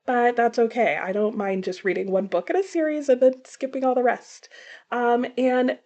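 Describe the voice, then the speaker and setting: A woman talking casually as a book reviewer, conversational, with animated asides and self-corrections. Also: on the high side, moderate pace. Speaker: book reviewer presenting a book haul. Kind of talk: casual chat to camera.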